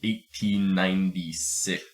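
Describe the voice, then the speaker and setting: Deep-voiced man bantering on a podcast. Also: steady tone, slow pace. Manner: rambling, jokey, stammering repetitions. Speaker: podcast co-host. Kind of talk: casual comedic banter.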